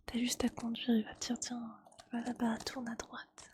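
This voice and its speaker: A woman speaking in a breathy ASMR whisper, chatting casually and with gentle teasing like a streamer talking to viewers. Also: on the high side, monotone, normal speed.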